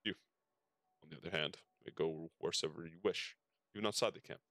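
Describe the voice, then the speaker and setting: Male game streamer, low voice, dry sound, casual reactions between read-out dialogue. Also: brisk, gravelly, fairly bright tone, no room sound.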